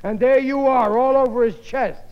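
A blustery, none-too-bright working-class American family man, pitched high for a male voice. He exclaims and dramatizes in vintage radio comedy style, with rough grammar. The sound is muffled old broadcast audio. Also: fairly even intonation, normal speed. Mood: indignant. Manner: blustery, exclaiming, ungrammatical everyman talk. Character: blustering, dim-witted working-class husband and father, melodramatic. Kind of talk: 1950s radio sitcom acting.

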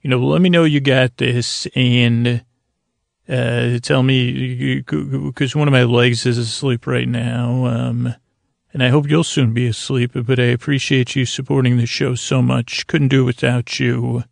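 A man with a creaky voice hosting a bedtime podcast in a languid, meandering, soothing ramble, often stumbling over his words. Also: mid-range voice, level tone, measured pace, no room sound. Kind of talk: rambling, soothing sleep-aid monologue.

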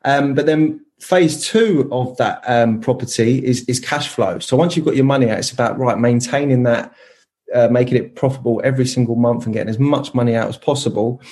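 A man's voice: mid-range voice, brisk, darkish tone. A man in his thirties, a podcast guest explaining his plans in casual chat, eager and full of restarts. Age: 30s. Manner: enthusiastic, many restarts and fillers.

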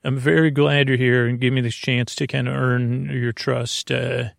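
A man hosting a sleep podcast with a gravelly voice, talking in a hesitant, halting, meandering bedtime monologue that drifts into tangents. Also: mid-range voice, level tone, dry recording, studio quality. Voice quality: gravelly, creaky.

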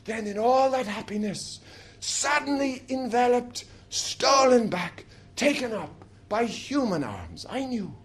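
A reader giving a measured, lyrical reading of a first-person literary passage. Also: medium pitch, sing-song.

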